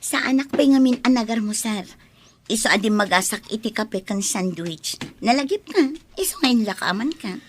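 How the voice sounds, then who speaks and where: A woman with a Filipino accent playing a haughty, jealous, bossy office superior in a radio drama, sharp and dramatic. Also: fairly high pitch, expressive, normal speed, a little hiss or hum, lightly acted. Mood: sweetly attentive. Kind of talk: Ilocano radio drama acting.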